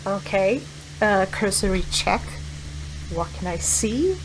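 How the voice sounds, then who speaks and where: A woman's voice: mid-range voice, animated, slow, background noise. A woman talking casually as a player in a tabletop roleplaying game, with music behind her.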